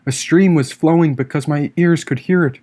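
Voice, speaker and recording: A man reading a first-person story as an audiobook narrator, expressive and theatrical in his delivery. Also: a little high, normal speed. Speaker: author reading own audiobook.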